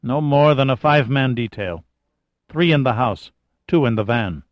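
A man's voice: mid-range voice, animated, normal speed, no room sound, highs cut off, lightly acted. Man reading a cautious, blunt militant lookout. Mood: watchful, businesslike. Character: bearded male lookout, cautious, unafraid, blunt militant observer.

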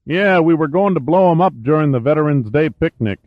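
A man's voice: a little high, animated, brisk, voice pushed up, natural voice. A man voicing a friendly, canny small-town veteran with rustic, ungrammatical speech in an audiobook. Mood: folksy, matter-of-fact. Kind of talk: audiobook character dialogue. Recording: dull, roomy, echoey sound.

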